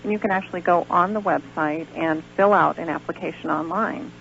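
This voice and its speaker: A woman's voice: lowish voice, brisk, creaky, a bit bright. A woman phoning in as a guest on a radio interview, talking in an earnest, encouraging way. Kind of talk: live promotional radio interview chat. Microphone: calling in by phone. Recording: lo-fi phone-in on radio, noisy hum.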